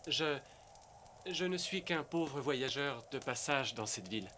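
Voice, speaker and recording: A man's voice: mid-range voice, expressive, measured pace, pushed and bright, a little hiss or hum. A young man playing a kind, polite, curious prince in a French cartoon dub.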